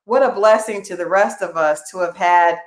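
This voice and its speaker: A woman's voice: lowish voice, moderate pace. A woman hosting an interview, who asks long, rambling questions and murmurs frequent agreement. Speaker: interview host. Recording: echoey room.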